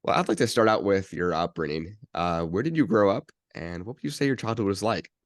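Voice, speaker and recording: Deep-voiced male podcast interviewer, close and dry. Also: lively intonation, brisk, no room sound. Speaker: podcast host interviewing a guest.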